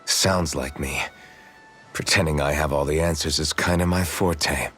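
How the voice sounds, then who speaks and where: Brooding hero for anime game dub; deep, halting, self-doubting delivery over soft music. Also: male voice, fairly even intonation, breathy edge, exaggerated voice. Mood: guilt-ridden.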